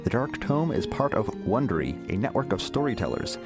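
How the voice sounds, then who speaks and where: Male announcer, lowish voice, lively intonation, scripted podcast credits, loud guitar music underneath. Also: moderate pace. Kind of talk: scripted narration.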